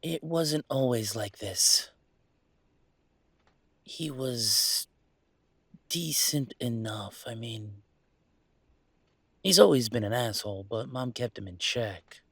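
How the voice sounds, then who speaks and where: Man acting upset young guy, husky, halting and slow. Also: medium pitch, animated, fairly bright tone, dry recording, exaggerated voice. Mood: weary, hurt. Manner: halting, rambling, emotional, repeats words. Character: upset young male werewolf streamer venting about his father. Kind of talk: intimate dramatic audio roleplay.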